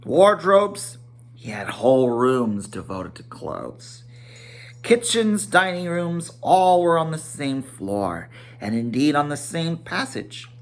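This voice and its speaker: A man's voice: a little high, very animated, takes it slowly. A man reading a fantasy storybook aloud in a theatrical, emphatic manner, stumbling now and then. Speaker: amateur storybook reader. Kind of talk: dramatic read-aloud of a children's fantasy novel.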